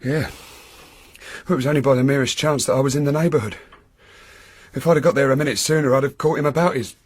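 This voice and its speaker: A man's voice: medium pitch, quick pace, slightly rough, natural voice. An actor playing an eager young male police detective who talks in an earnest, chatty way. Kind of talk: radio drama acting.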